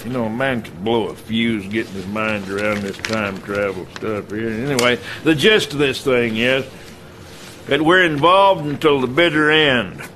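A man acts a middle-aged American army commander in a game briefing. He is gruff and folksy at an ordinary pitch, with slight noise behind him. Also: normal speed, bright, forceful tone, close to an everyday voice. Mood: wry, resolute. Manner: folksy, blustery military briefing. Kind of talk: video game cutscene acting.

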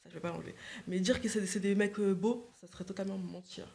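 A woman's voice: mid-range voice, steady tone, quick pace, gravelly, darkish tone. A young woman, a guest on a livestream, talking earnestly and insisting she is sincere, into a desk microphone indoors. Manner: earnest, insistent, repeats herself. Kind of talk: casual chat on a livestream. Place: indoor living room set.

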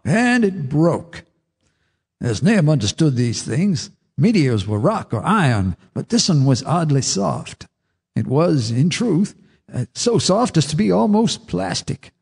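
An actor narrating a radio drama as an older farmer telling his memories in a measured, folksy way. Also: fairly high pitch, sing-song, gravelly, darkish tone, husky, exaggerated voice. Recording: reverberant radio drama with music.

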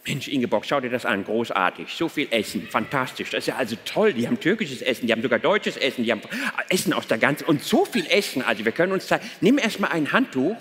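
A man's voice: mid-range voice, sing-song, quick pace, few pauses, fairly bright tone, pitched below the performer's own voice. Guy on stage doing a gushing bossy middle-aged german husband, very swoopy voice, echoing big hall. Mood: marvelling. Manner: gushing, bossy, instructing his wife. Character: excited, system-savvy middle-aged German husband at buffet. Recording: big hall reverb, stage show noise.

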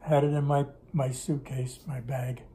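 A man's voice: mid-range voice, flat delivery, unhurried, warm tone. An older man telling stories in a casual interview at his kitchen table, rambling and correcting himself as he goes, recorded on a camera set on the table. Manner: rambling, self-correcting storyteller. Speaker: interviewee recalling business in Russia. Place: home kitchen table, indoors. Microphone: camera on table in front.

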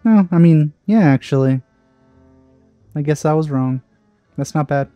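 A man giving casual, musing let's play commentary on a game, in a halting way. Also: fairly high pitch, muffled recording, soft background music.

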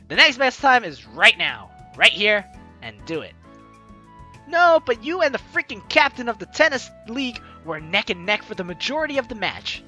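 Bright, animated gaming commentator. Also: mid-range voice, muffled recording. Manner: animated, exclaiming, giggly. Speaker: gaming video host. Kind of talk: let's play commentary, reading visual novel lines aloud. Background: game music.

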